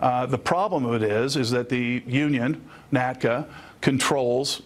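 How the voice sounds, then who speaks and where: Mid-pitched man, measured interview guest. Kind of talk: explanatory news interview.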